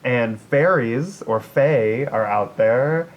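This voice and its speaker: A man's voice: slow pace, highs cut off. A man co-hosting a casual comedic podcast, thinking aloud in a rambling, wry way. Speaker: podcast co-host. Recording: noisy recording with low rumble.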